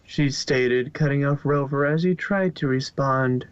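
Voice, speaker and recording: A man's voice: mid-range voice, measured pace, clean tone, no echo, muffled recording. A man narrating fan fiction as an amateur reader, in a storytelling style.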